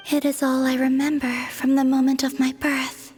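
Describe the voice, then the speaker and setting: Melancholy moon goddess for a game: high, whispery, unhurried, poetic and detached. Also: female voice. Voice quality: soft, breathy, whispery. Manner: slow, poetic, measured. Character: young female moon goddess, solemn, melancholy, enigmatic, detached. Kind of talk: English video game voice acting. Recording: game music behind the voice. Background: background music.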